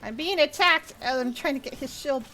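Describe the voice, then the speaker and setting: A woman's voice: high voice, fairly quick, bright, forceful tone. A woman giving casual live commentary on a video game, thinking aloud as she plays. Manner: thinks aloud while playing. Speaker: game streamer. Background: game audio with faint music.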